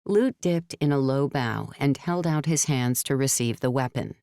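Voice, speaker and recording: Lady reading an audiobook, pretty deep voice, super clean and dry, measured storyteller. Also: animated, mellow, clear, resonant tone, no echo. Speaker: audiobook narrator. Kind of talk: scripted narration of a novel.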